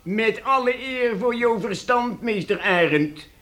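A blunt, argumentative craftsman in a radio play. He has a very high voice and makes his doubts known slowly and emphatically. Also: expressive, a loud crowd. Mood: sceptical. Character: blunt, sceptical male tradesman objecting at a guild meeting.

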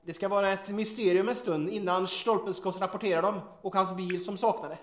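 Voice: mid-range voice, steady tone, lightly acted. An actor playing a squeamish, complaining sidekick with gallows humour in an audio drama, wry and hesitant, in an echoey room. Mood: grumbling, wry.

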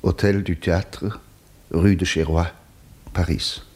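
A reflective writer reads out his diary in a radio play. His voice is deep and husky, and his delivery is measured and formal. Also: fairly even intonation, darkish tone, exaggerated voice. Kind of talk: literary radio drama narration.

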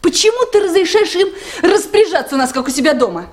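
A woman's voice: very high voice, lively intonation, fast, darkish tone. An actress playing a naive, chatty young woman with a pert, girlish manner in a Soviet radio play. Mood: indignant.

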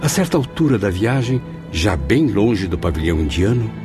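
Reflective dead narrator, brazilian, very low voice, solemn, music under it, radio drama. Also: male voice, big pitch swings, normal speed. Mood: solemn narration. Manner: solemn, literary. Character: reflective male spirit narrating his afterlife memoirs.

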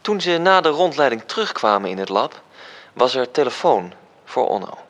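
An actor playing a measured, wry storyteller, delivering scripted literary narration in a radio drama. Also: male voice, medium pitch, very animated, a bit bright. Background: music.

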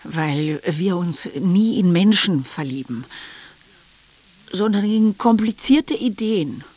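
A disillusioned woman with a husky voice. She speaks extremely slowly, as if explaining to a friend in a radio play. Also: on the low side, animated, a little mellow, heavily acted. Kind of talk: slow radio drama dialogue.

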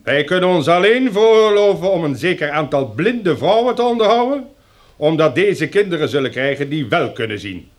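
An actor playing a stern, pompous community leader who lectures in formal, measured oratory in a radio drama. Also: male voice, on the high side, lively intonation, lightly acted. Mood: solemn, commanding. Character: stern, pompous male leader lecturing survivors on new rules.

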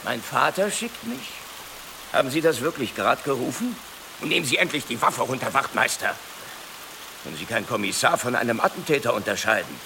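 Radio drama: sardonic, commanding male boss of police, lively pitch, noisy rainy street. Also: a little fast, creaky. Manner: sardonic, authoritative. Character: commanding, wry male police chief, impatient superior. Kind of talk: radio play acting.

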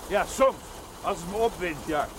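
Man playing polite earnest chaplain in old tv drama, high voice, loud motor racket. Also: moderate pace, close to an everyday voice. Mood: polite, practical. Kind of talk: naturalistic 1970s television drama acting. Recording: very noisy soundtrack with car engine.

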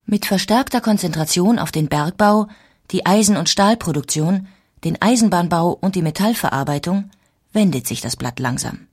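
Low, husky female documentary narrator. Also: a little mellow. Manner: measured, storytelling.

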